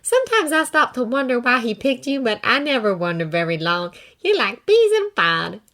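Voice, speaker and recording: A woman's voice: a little high, big pitch swings, normal speed, pushed and bright, pitched above the performer's own voice, full character voice. Ghost woman mentor, dry and sighing, lightly teasing; the reader voices her. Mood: wry.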